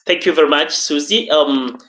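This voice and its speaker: A man's voice: a little high, moderate pace, bright, forceful tone. A man with a Ugandan accent giving interview answers and personal stories in a formal, emphatic way with repeated phrases. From central Uganda. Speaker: coach and trainer, podcast guest. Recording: echoey room.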